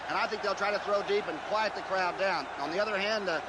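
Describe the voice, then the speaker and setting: Fast-talking guy doing color on a football game, higher voice, stadium racket behind. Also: over a noisy crowd, heavy background noise. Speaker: color commentator. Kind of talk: live sports commentary.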